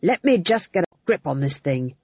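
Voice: medium pitch, very animated, normal speed, a little mellow, no room sound, highs cut off. A narrator voicing the ghost of an old murdered king, aggrieved and given to swearing. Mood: strained, frustrated.

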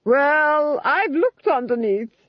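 A woman's voice: expressive, takes it slowly, dry recording, dull audio. A woman reading an audiobook, voicing a cruel, gloating duchess who rants at her captives. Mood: exultant. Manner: shrill, ranting, exultant.

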